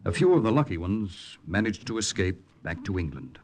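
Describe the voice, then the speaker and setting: An actor playing a calm, resolute American secret agent lieutenant, telling his wartime story in a measured, earnest 1940s radio drama style. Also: low voice, slight background noise. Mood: grave, reflective. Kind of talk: 1940s radio acting, first-person dramatic narration. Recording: 1940s radio recording, music underneath. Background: dramatic music.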